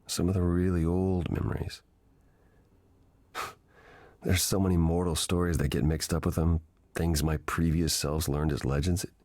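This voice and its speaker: Deep, gentle, ancient god. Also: male voice, no echo. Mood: gentle, reflective. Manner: measured, reflective, explanatory. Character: ancient weary god reborn in a man, gentle patient mentor. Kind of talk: video game voice acting.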